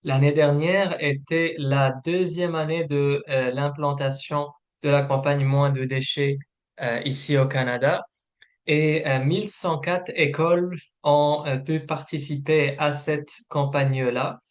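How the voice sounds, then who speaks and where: Male webinar presenter, slightly high voice, formal and informational, hesitant with frequent filler pauses. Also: steady tone. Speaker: program manager presenting a webinar.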